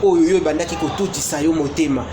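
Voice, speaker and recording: A man hosting an interview, lively questions switching between two languages. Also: on the high side, fast. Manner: lively, asks in mixed Lingala and French. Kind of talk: casual interview. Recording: echoey room, clear noise.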